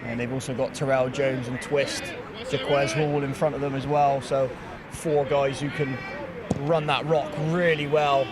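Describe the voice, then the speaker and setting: A man giving lively, joking play-by-play commentary on a live football game outdoors. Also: medium pitch, measured pace, crowd noise. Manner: lively, jokey play-by-play, laughs easily.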